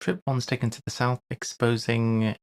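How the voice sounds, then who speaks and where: A man chats casually on a live stream. He muses to himself in short, broken phrases, and his medium-pitched voice often dips into creak. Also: little pitch movement. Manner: musing aloud in short, halting fragments. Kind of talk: casual live-stream chat while solving crosswords.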